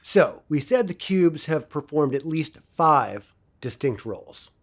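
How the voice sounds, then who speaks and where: A man playing an earnest professor in an audio drama, giving a pointed political lecture in an emphatic, deliberate, didactic way. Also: on the high side, expressive.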